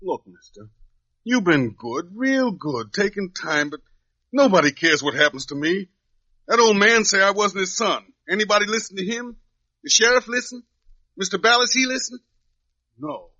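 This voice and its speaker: An actor playing a wrongly jailed young drifter in a radio drama, with plain folksy, uneducated speech, pleading and resigned. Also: male voice, high-pitched, lively intonation, moderate pace, pushed and bright, no echo, full character voice. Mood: bitter, resigned. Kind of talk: 1950s radio drama acting. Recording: dull lo-fi old radio recording.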